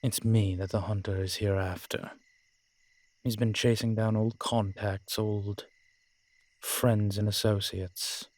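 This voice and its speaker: A man's voice: lowish voice, flat delivery, takes it slowly, a little mellow, no room sound. A man playing an ancient, proud vampire in an audio drama, speaking with formal, courtly diction and gravity. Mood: grave. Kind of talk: dramatic audio roleplay acting.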